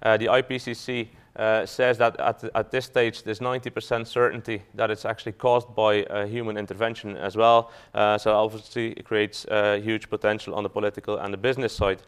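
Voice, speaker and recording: A man's voice: fairly low pitch, flat delivery, a little fast, few pauses, smooth. A man from Belgium, a fund manager giving a business talk to an audience, hesitant with frequent fillers and restarts.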